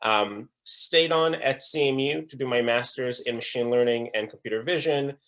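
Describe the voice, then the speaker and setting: A man's voice: mid-range voice, moderate pace, a bit bright, rough recording. Young man giving a conference talk. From Israel. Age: young adult. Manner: earnest, with frequent um fillers and restarts. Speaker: conference speaker. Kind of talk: presentation talk. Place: online breakout session.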